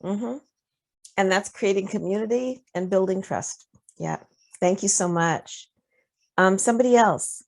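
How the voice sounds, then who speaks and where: A woman presenting her framework and leading an online workshop discussion, talking in a relaxed, conversational way with many fillers. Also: medium pitch, unhurried, pauses often. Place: video call.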